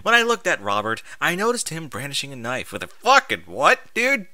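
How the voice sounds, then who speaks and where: A man's voice: fairly high pitch, huge pitch swings, fairly quick, pushed and bright, dry recording. A man reading a story aloud with exasperated, sarcastic, sweary commentary. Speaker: host reading and mocking amateur fan fiction aloud.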